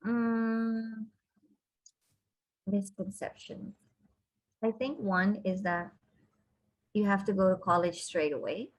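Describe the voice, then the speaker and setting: A woman who is a university counselor, giving measured, thoughtful and encouraging answers in an interview. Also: mid-range voice, steady tone, poor audio.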